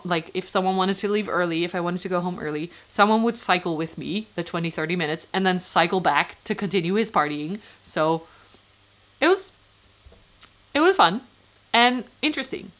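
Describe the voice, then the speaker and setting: A woman's voice: fairly low pitch, a bit bright. A Dutch woman in her thirties, chatting casually on a livestream as she answers comments, rambling, with frequent fillers and restarts. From Netherlands. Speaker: vlogger.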